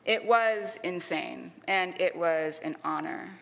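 A middle-aged woman from Canada, a history lecturer, reads a prepared public lecture in a measured, earnest way with deliberate emphasis. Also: lowish voice, vocal fry, fairly bright tone. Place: lecture hall. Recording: long hall reverb.

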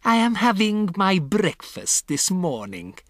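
A storybook narrator voicing a furious, imperious female witch leader, shrieking with drawn-out, emphatic words. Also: mid-range voice, huge pitch swings, husky, no room sound, studio quality, full character voice. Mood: sneering, disgusted. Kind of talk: theatrical storybook character voice.

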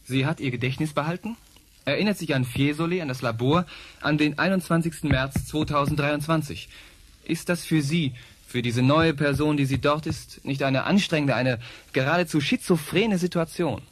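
Guy acting a tv interviewer, highish voice, formal probing questions. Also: moderate pace, no echo, natural voice. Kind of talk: German radio drama acting.

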